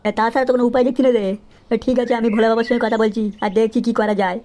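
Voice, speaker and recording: An actor playing a brisk, bossy, street-wise village man in a comedy cartoon, chatty and know-it-all. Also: medium pitch, flat delivery, darkish tone, natural voice. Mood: concerned, advising. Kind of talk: Bengali comedy cartoon acting.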